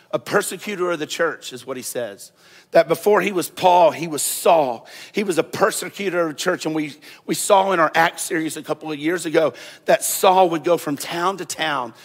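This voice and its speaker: A man preaches in a church sanctuary, his fairly high voice trailing off into a long reverb. He talks to the congregation like a conversation, doubling back on his own words and getting more animated as he presses a point. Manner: conversational preaching with repeated phrases, growing animated. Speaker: pastor. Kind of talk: sermon.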